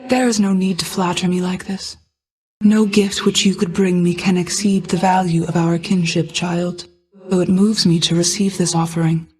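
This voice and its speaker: A woman voicing a regal, ageless, motherly goddess of night in a video game, speaking in formal, measured, archaic phrasing. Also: on the low side, level tone, darkish tone, slightly rough, rough recording. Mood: gentle, solemn. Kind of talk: scripted video game voice acting.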